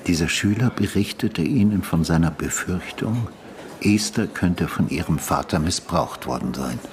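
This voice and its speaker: Deep-voiced, patient old detective. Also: male voice, expressive. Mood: insistent, attentive. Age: older. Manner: sober, measured questioning in short sentences. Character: retired homicide detective, older man, patient, probing, calm. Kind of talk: naturalistic German radio drama acting.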